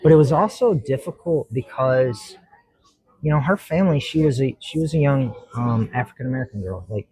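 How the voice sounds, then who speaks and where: A middle-aged man with a Kentucky accent, a judge talking earnestly as a podcast guest, pausing often and restarting his sentences. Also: medium pitch, lively intonation, mellow, husky, dry recording, poor audio. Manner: earnest, halting, many fillers and restarts. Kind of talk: casual interview talk.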